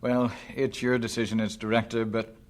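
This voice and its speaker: A man's voice: medium pitch, level tone, moderate pace, close to an everyday voice. A man playing a concerned, respectful mission colleague in an old-fashioned radio drama, speaking thoughtfully and with some hesitation.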